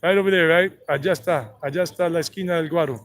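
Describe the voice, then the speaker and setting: A man's voice: fairly high pitch, very fast. Chatty man on a street walk. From South Carolina, United States. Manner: chatty, rambling, jokey, talks to companions and passersby. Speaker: tour guide vlogger. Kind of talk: casual walking tour commentary. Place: outdoors on a town street and park.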